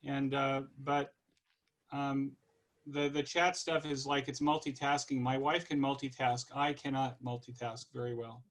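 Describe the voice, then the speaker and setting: A man in his sixties from California with an American accent, giving an informal, halting, conversational online presentation. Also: medium pitch, little pitch movement. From California, United States. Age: 60s. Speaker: guest presenter.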